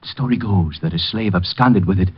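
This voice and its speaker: An actor playing a frightened, paranoid heir, agitated, stammering and pleading, in old radio drama style. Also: male voice, sits low, a little fast, husky. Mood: ominous, intense. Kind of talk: 1940s radio drama acting. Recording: dull vintage radio recording.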